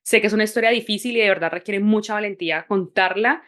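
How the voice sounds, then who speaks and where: A woman's voice: mid-range voice, fast, a bit bright. A woman hosting a podcast, introducing her guest in an upbeat, encouraging way. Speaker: podcast host.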